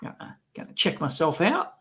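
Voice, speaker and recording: A man with a cold and a rough, sick-sounding voice, hosting a casual live stream and grumbling with exasperation. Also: fairly high pitch, wildly swooping pitch, moderate pace, bright, forceful tone. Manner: grumbling, exasperated, swears freely. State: has a cold, coughing. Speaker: electronics video host.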